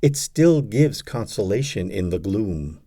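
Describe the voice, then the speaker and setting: A man reading poetry aloud as an audiobook, in a dramatic recitation with measured, deliberate phrasing and pauses. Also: lowish voice, very animated, dark tone. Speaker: audiobook reader.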